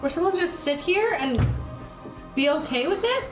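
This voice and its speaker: A woman's voice: extremely high pitch, animated, normal speed, over music. A woman playing a tabletop roleplaying game, chatting and improvising in character.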